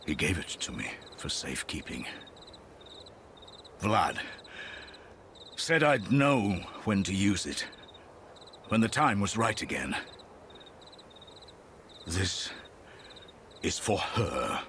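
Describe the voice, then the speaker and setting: An actor playing a grave, weary man entrusted with an old secret in a game, speaking solemnly and deliberately. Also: sits low, big pitch swings, background noise, full character voice.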